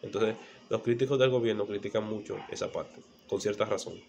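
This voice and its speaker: Male presenter, Dominican accent, lowish pitch, didactic history explainer, slight noise behind. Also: fairly even intonation, a little fast, gravelly, a little mellow, muffled recording. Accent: Dominican Spanish. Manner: didactic, with rhetorical questions and repeated phrases. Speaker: presenter of a geopolitics channel. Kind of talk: explanatory history monologue to camera.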